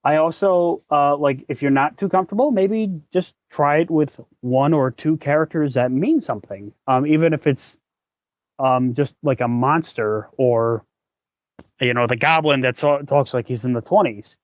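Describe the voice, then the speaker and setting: A man's voice: a little high, normal speed, dry recording. Man chatting casually, dull dry audio. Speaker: podcast host. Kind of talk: casual chat giving gaming advice.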